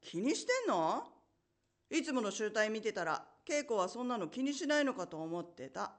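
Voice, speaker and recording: A woman's voice: medium pitch, very animated, moderate pace, lightly acted. An actress playing a blunt, teasing young woman in an amateur radio drama.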